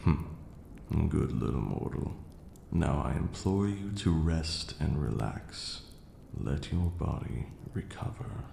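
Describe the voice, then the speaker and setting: A man playing a stern, aloof vampire lord in an ASMR roleplay, speaking in a formal, haughty way with a gravelly, breathy near-whisper. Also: extremely low pitch, expressive, mellow, full character voice. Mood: stern, scolding, then grudging, exasperated. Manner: formal, haughty, slow scolding lecturing delivery. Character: stern aloof ancient male vampire lord, grudgingly caring. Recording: roomy echo, clear background noise.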